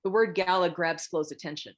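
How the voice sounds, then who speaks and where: Lower-pitched woman narrator. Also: steady tone, a little fast. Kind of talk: scripted screenplay narration.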